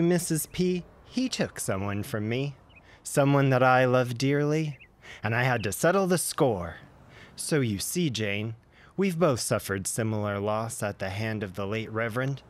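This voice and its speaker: A man playing a droll, gossipy gentleman neighbor with a theatrical manner in a period audio drama. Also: mid-range voice, expressive, a little slow, close to an everyday voice. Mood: confiding.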